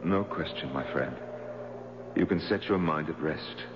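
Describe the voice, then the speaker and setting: An actor playing a sensible, concerned gentleman in a 1970s radio drama, reasoning earnestly with his friend. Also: rumbling low, measured pace. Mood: firm, reassuring. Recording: muffled vintage radio broadcast with hum.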